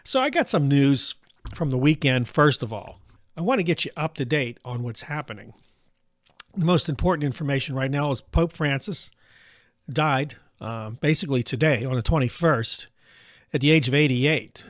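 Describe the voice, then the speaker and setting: A middle-aged American man hosting a political news commentary show, his voice raspy from allergies, talking in a steady, measured way with frequent "uh" fillers. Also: medium pitch, no room sound, clean studio sound. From United States. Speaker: show host.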